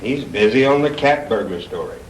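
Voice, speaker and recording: An actor playing a coaxing, businesslike American newspaper editor in a 1960s B-movie. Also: male voice, medium pitch, expressive, brisk, lightly acted. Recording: echoey, distant, noisy old film soundtrack.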